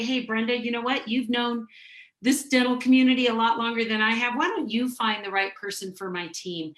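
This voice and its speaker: Middle-aged woman guest, higher voice, friendly pitching tone, roomy echo on a video call. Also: fairly bright tone. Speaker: dental consultant, guest. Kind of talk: casual interview chat.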